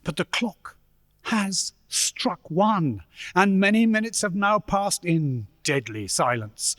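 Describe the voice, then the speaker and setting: A man narrating a radio play as dramatic, deliberate storytelling with suspenseful pauses. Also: high voice, animated, fairly bright tone, no room sound, studio quality, full character voice. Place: live stage performance in a church.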